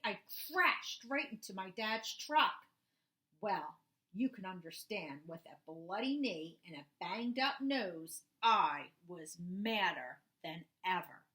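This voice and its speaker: A woman's voice: mid-range voice, fairly bright tone. A woman voicing a grumpy young boy cow, telling a children's storybook in an indignant, dramatic way. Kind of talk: first-person children's storybook narration.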